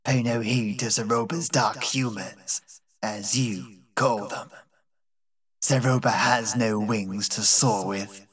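Audiobook: male reader doing a bitter old servant woman, low and harsh, slow and brooding. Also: fairly even intonation, a bit bright, slightly rough, distant mic, exaggerated voice. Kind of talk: audiobook character reading.

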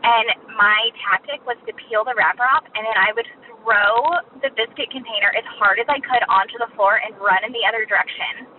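A woman's voice: a little high, brisk, few pauses. A woman caller leaving a casual, anecdotal voicemail for a show. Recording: tinny, noisy phone voicemail.

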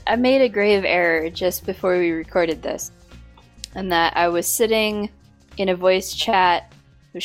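Hoarse woman with a sore throat. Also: lowish voice. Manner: halting, full of fillers. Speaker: podcast co-host. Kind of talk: casual podcast chat.

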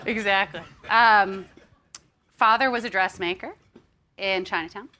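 A woman's voice: medium pitch, unhurried, pushed and bright. A woman from the United States hosting a live onstage interview, upbeat and chatty with frequent ums. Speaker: interview host and magazine editor. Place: onstage before an audience.

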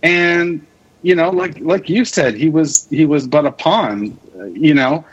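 Male podcast guest, unhurried and hesitant, conversational, on a slightly lo-fi recording. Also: on the high side, husky. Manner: hesitant, searching for words. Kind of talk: casual chat.

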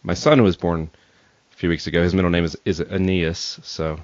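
A man's voice: sits low, fairly even intonation, moderate pace. A man hosting a podcast interview in casual, informal and somewhat hesitant phrasing. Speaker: podcast host. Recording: dry, slightly dull podcast audio.

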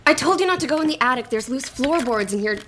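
A woman's voice: sits high, lively intonation, quick pace, fairly bright tone, slight background noise. An actress playing a stern, exasperated mother who speaks curtly in a naturalistic audio drama. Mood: scolding. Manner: curt, exasperated, snapping. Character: stern, frazzled mother scolding her young daughter.

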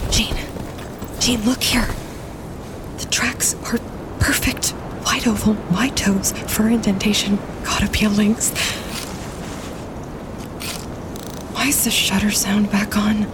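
An actress as a practical woman photographer out in the winter wilderness. She talks slowly and plainly, keeping it low-key and hushed, as in a naturalistic audio drama. Clear outdoor ambience sits behind her. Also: steady tone, background noise, lightly acted. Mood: hushed, alert.

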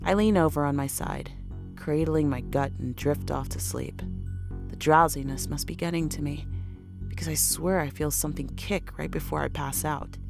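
Low-voiced, anxious pregnant horror narrator. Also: female voice, steady tone, normal speed, gravelly, music in the background. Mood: weary, uneasy. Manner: intimate, weary, swearing. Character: anxious pregnant woman narrating her horror ordeal, exhausted, frightened. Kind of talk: first-person horror audio drama narration.

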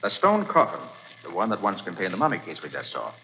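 An actor playing a calm, assured male detective who speaks in a measured, authoritative way in a 1940s radio drama. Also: low voice, heavily acted. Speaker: private detective. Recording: dull 1940s radio recording, faint hiss.

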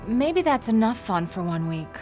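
An actress playing a caring best friend, familiar and gently blunt, in a naturalistic audio drama. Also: female voice, a little high, big pitch swings, moderate pace, faint music behind. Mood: concerned, teasing. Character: frank, caring woman friend and confidant.

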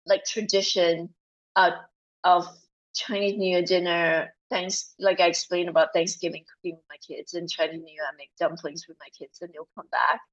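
Animated woman from Malaysia, muffled sound. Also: fairly low pitch, moderate pace. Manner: animated, many fillers and restarts. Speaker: guest. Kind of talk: casual interview conversation.

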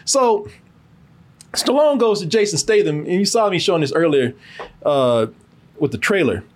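A man's voice: high voice, lively intonation, moderate pace. A man hosting a casual, profane film review podcast, telling it emphatically with lots of repetition and free cursing.

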